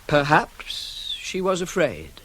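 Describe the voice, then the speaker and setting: An actor playing a proud, self-controlled young prince wronged by his father, in a formal, measured, declamatory classical tragedy style for radio. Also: fairly high pitch, huge pitch swings, pushed and bright, a little hiss or hum, full character voice. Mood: solemn, pleading.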